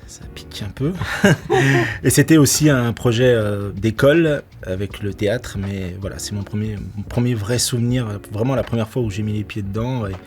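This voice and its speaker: Middle-aged man, low voice, laughing often. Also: moderate pace, darkish tone, soft background music. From Portugal. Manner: laughs often, many restarts and fillers. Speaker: actor and nightclub security manager. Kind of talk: casual interview answers.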